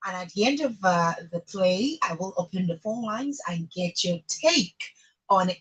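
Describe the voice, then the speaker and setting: A woman's voice: medium pitch, lively intonation, measured pace, a bit bright, slightly rough, rough recording. A woman with a Nigerian accent hosting a radio show, chatting informally and playfully with emphatic phrasing. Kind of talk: chatty live radio presenting.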